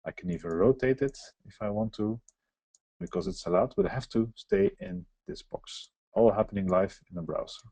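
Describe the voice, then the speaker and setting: Man for a webinar software walkthrough; explanatory, mellow tone, dry close sound. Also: fairly low pitch, sing-song, moderate pace, creaky, no echo. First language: Dutch. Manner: explanatory, walks through on-screen steps. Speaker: software presenter. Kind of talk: live software demo webinar.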